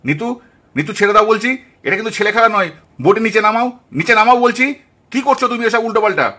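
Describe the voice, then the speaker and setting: A man voicing an alarmed village husband, urgently admonishing and pleading for calm in a puppet cartoon. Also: high voice, quick pace. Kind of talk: puppet cartoon family drama acting.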